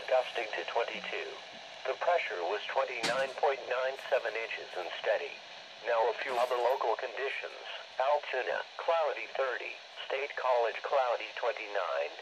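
A computerized male announcer reads forecasts formally and evenly measured, at a medium pitch. The voice comes out of a small weather radio speaker. Clear noise runs through the recording. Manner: measured, formal, synthetic delivery. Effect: synthetic text-to-speech voice played through a small radio speaker. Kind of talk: scripted weather forecast reading. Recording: noisy camera capture of radio speaker. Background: clear background noise.

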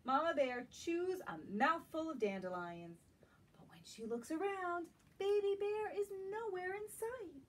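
Slow, high-voiced woman reading a children's story. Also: expressive. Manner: slow, questioning asides to young listeners. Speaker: library storytime reader. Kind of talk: expressive picture-book reading for children. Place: small indoor room lined with bookshelves. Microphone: camera a few metres away. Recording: distant camera mic in a room.

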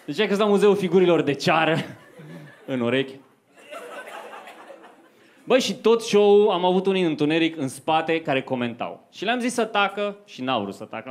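A young man performing stand-up comedy in a conversational storytelling manner into a handheld microphone on a club stage. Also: on the high side. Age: young adult. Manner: conversational storytelling to a live audience. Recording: faint noise, live club stage recording.

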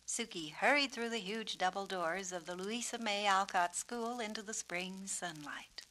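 A woman's voice: mid-range voice, bright, forceful tone. A woman narrating a children's storybook expressively, as its author. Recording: noisy 1970s children's story record.

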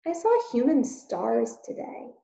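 A shy, yearning little peasant girl, as a woman reading aloud puts her on, her voice lifted high and childlike. She speaks eagerly yet timidly in a storybook tale. The sound is dull and roomy. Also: sing-song, slow pace, gravelly, warm tone, heavily acted. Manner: high, pleading. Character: shy, wistful seven-year-old peasant girl.

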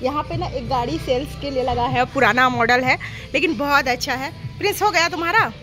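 A woman's voice: high voice, brisk, pushed and bright, soft background music. A middle-aged woman vlogging casually outdoors at night on a phone held at arm's length, chatting directly to viewers. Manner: chatty, talks straight to viewers. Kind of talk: casual travel vlog chat. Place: outdoor cafe and street at night. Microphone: phone camera held at arm's length.

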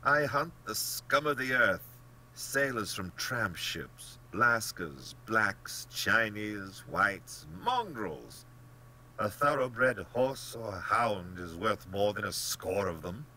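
Casting: smug, genteel storybook general, cold-blooded and pompous, forceful tone with big swoops, faint hum behind. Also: male voice, on the low side, animated, slow, pitched above the performer's own voice, heavily acted, rough recording. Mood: boastful, emphatic. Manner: blandly polite, pompous, theatrically emphatic. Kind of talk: dramatic audiobook character acting.

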